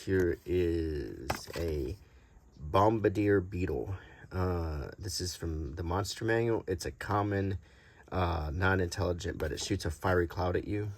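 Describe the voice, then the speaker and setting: A man hosting an unscripted, ASMR-like show-and-tell in a halting, casual way. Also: sits low, a little hiss or hum. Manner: halting, unscripted, hesitant delivery. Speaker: host.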